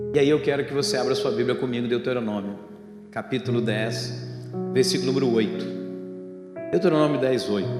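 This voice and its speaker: High-pitched Brazilian preacher man in echoey hall. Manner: didactic, asking rhetorical questions and addressing the congregation directly. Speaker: pastor, preacher. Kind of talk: evangelical church sermon with storytelling and Bible reading. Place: church hall, preaching over a sound system. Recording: echoey hall with long reverb, music behind.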